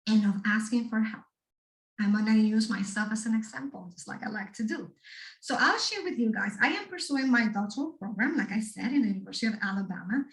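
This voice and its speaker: Woman giving an animated talk. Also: fairly high pitch. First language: Spanish. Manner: animated, addresses the audience directly with frequent "right?". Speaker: speaker at a student workshop. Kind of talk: motivational talk to students. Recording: echoey room, mic far off.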